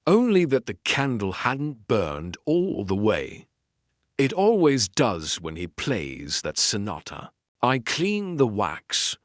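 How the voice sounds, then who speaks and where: A man's voice: on the low side, wildly swooping pitch, takes it slowly, no echo, studio quality, exaggerated voice. A man voicing a deferential, hesitant female housekeeper in an audiobook, giving meek, brief answers. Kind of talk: audiobook character dialogue.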